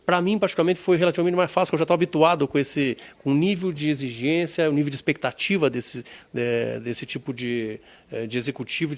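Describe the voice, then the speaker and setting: Reflective middle-aged businessman. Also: on the high side. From Rio Grande do Sul, Brazil. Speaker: insurance executive. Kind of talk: oral history interview.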